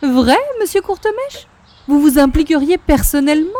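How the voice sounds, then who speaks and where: A woman's voice: sits high, expressive, normal speed, dark tone. A woman playing a grandiose society lady crusading for culture in a comic radio play, effusive and flowery. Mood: sweetly delighted. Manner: theatrical, effusive, flowery speech. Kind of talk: comic radio play in 1930s-40s style.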